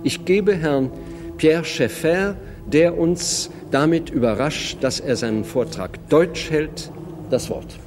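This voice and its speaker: A man's voice: a little high, takes it slowly. A man giving a formal, ceremonious introduction as the presenter of a public event. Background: music and audience.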